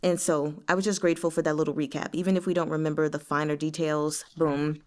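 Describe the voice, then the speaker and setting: A woman speaks with a clean, smooth tone that sits a little low for a female voice. She hosts a read-along in a casual, chatty way. Her delivery is expressive and digressive, wandering into little asides as she shares her thoughts. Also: fast talker. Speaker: host of a book read-along. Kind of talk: casual chatty book discussion.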